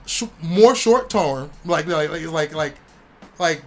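Animated rambling man, slangy and high. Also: takes it slowly, faint music behind. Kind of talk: casual comic book chat.

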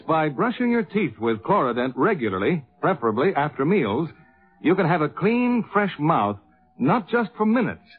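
A man announcing in the scripted, selling style of 1950s radio. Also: on the high side. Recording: tinny vintage 1950s radio broadcast.

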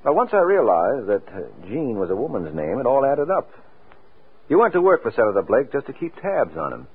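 An actor playing a quick-witted, self-assured, flirtatious American private detective in a 1940s radio drama, wry and smooth-talking. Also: male voice, medium pitch, very animated, heavily acted. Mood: confident, wry. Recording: tinny, muffled old radio recording, music.

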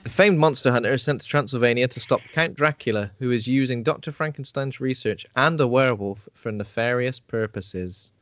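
A man's voice: medium pitch, fairly quick, few pauses, a bit bright, no room sound. A man co-hosting a podcast, chatting casually with ums and trailing thoughts. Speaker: podcast co-host.

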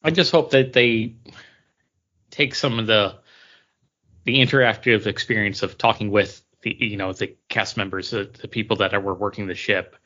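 Male podcast co-host, lowish bright voice, halting conversational delivery, slightly dull recording. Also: steady tone. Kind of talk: casual chat about theme park attractions.

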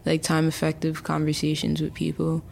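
A woman's voice: low-pitched, monotone, moderate pace, creaky, darkish tone. A woman in her early twenties, a chatty interview guest who talks casually with many fillers and restarts. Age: early 20s. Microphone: remote call.

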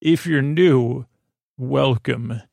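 A man hosts a bedtime podcast in a gravelly, creaky voice at a middling pitch. He rambles in a soothing way, stammering, trailing off and doubling back on his own thoughts. The sound is dry and close, with no room around it. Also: a little mellow, no room sound. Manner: halting, stammering, circling back on himself; soothing, lulling. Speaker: podcast host. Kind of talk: rambling, meandering bedtime monologue.

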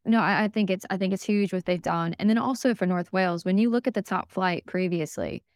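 A woman's voice: mid-range voice, brisk, no echo, studio quality. A young American woman, a podcast guest, talking enthusiastically in a casual interview, with lots of fillers and restarts. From United States.

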